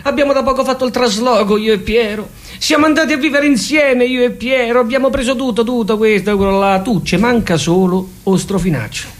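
A man's voice: very high voice, fairly quick, hardly any pauses. A man playing an aggrieved, obsessive Roman housewife in a theatrical comic monologue, repeating things fixatedly in a Roman accent. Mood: fretful, searching. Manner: repetitive, fixated, swinging in emphasis.

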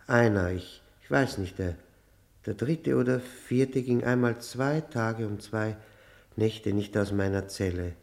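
Long-term prisoner, radio drama: lowish voice, faltering, word-repeating, worn down and despairing. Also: male voice, darkish tone. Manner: halting, repeating words, faltering.

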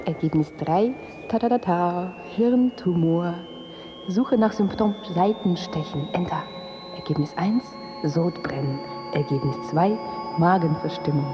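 Radio drama, actress as ominous female search voice: low, clinical, clipped recitation, music underneath. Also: a little slow, muffled recording, natural voice. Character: woman reading out internet symptom searches, clinical and ominous. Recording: music behind the voice.

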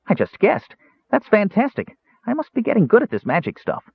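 A man doing an eager high-voiced kender. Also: huge pitch swings, fairly quick, creaky, slightly rough, heavily acted. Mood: excited. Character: eager chatty male kender, small and excitable. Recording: tinny phone-like narrow band.

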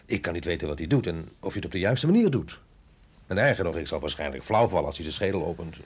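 Sceptische agent met schorre stem. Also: male voice, low-pitched, animated, quick pace, slightly rough. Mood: wary. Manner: hesitant, questioning, wry. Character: sceptical, wry male agent, an outsider out of his depth. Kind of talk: radio drama acting.

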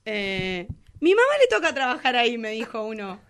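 A woman with an Argentine accent, a guest telling animated anecdotes in slang during a casual radio interview. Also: fairly high pitch, moderate pace, smooth. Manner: animated storytelling, quoting dialogue in slang. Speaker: guest, singer and teacher.

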